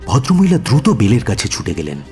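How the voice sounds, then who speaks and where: An actor playing a detective's loyal companion, telling the story in an expressive, suspenseful radio drama style. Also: male voice, medium pitch, quick pace, soft background music. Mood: dramatic. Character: admiring male companion narrating a detective's adventure. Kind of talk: dramatic first-person storytelling in radio play.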